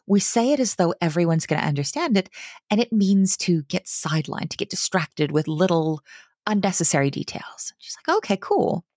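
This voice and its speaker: Animated woman guest on a video call. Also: lowish voice, no echo, studio quality. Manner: animated, enthusiastic, talks in quick bursts. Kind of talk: casual conversation. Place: home room with bookshelves.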